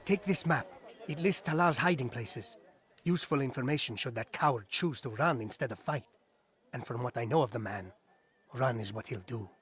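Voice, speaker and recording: An actor playing a grave, grateful informer in a video game who speaks formally and scorns cowards. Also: male voice, medium pitch, normal speed, fairly bright tone, husky. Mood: grateful, scornful.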